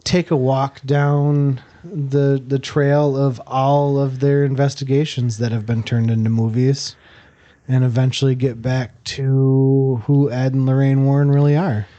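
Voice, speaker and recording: Host: mellow, warm-toned man, halting delivery with frequent uhs. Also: mid-range voice, level tone, muffled recording. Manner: hesitant, many uhs and restarts. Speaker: podcast host. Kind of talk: casual chat, running the intro.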